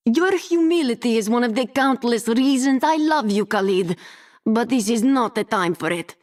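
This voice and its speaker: A woman's voice: on the high side, lively intonation, normal speed, darkish tone, natural voice. An actress playing a stern, protective female adventurer in a video game, speaking firmly and formally. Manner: firm, formal.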